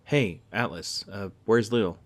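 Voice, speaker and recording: A man playing a shaken, uneasy colleague of a young priest in an audio drama, speaking in halting, hesitant phrases. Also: lowish voice. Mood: uneasy concern.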